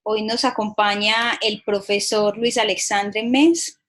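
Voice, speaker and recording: A woman's voice: mid-range voice, fairly even intonation, slow, a bit bright. A woman hosting a video conference who introduces the guest lecturer in a polite, formal way with some hesitation. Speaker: host, moderator.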